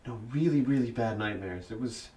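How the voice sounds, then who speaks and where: A man acting as an uneasy, frightened young man in a horror audio drama, speaking nervously and haltingly. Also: medium pitch, mellow, natural voice. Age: young adult.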